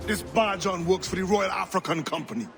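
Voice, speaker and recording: A skeptical, weary pirate crewmate in a game drama. His voice is slightly high for a man, set over clear music. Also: brisk. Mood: weary, firm. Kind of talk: dramatic video game cutscene acting.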